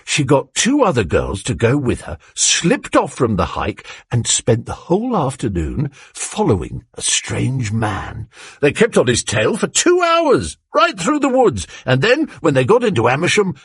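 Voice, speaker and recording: A man's voice: medium pitch, wildly swooping pitch, a little slow, breathy edge, no echo, voice pushed up, heavily acted. A man voicing a cheery, zealous, bluff male neighbour who talks in a chummy way. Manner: cheery and eager, chummy "old boy" talk.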